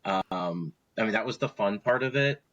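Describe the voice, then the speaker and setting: A man's voice: on the low side, moderate pace, fairly bright tone, muffled recording. An American man chatting casually as a guest sharing his own story in an interview. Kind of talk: casual chat.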